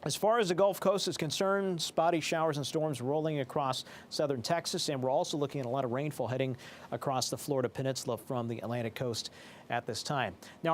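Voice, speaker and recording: A man presenting a television weather forecast in a formal, explanatory broadcast manner. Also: a little high. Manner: formal, explanatory broadcast delivery with technical phrasing. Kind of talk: live weather forecast commentary.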